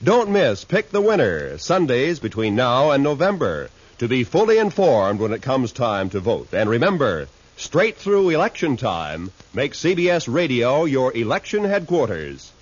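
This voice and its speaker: A man's voice: medium pitch, very animated, normal speed, few pauses, breathy edge. An American man reading scripted network announcements in a polished, emphatic 1950s radio style. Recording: muffled 1950s radio recording.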